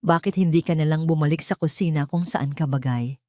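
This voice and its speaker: A woman's voice: sits low, a little fast, warm tone, no echo, natural voice. Woman performing scheming Filipino mistress, icy, taunting, mock-sweet, dry audio drama sound. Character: haughty, scheming glamorous mistress, sugary and mean.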